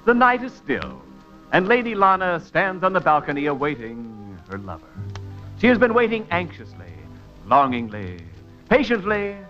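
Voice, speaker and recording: A man announcing in a florid, drawn-out 1940s radio manner, narrating a dramatic scene. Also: fairly high pitch, very animated, dull audio, soft background music.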